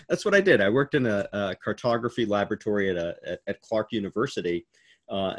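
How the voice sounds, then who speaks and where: Low-voiced man, halting and chatty. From United States. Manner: halting, many uh fillers, laughs while telling stories. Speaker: keynote speaker. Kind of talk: informal virtual interview. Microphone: video call.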